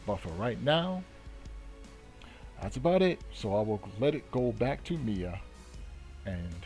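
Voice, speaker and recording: A man hosting a channel, giving casual explanatory commentary in a halting, emphatic way and repeating points for stress. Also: mid-range voice, lively intonation, darkish tone, soft background music. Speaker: trading channel host.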